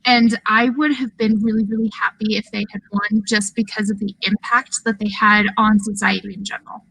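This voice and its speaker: A woman's voice: mid-range voice, level tone, fairly quick, gravelly, a bit bright, breathy edge, poor audio. A woman on a podcast panel, chatting casually about video games.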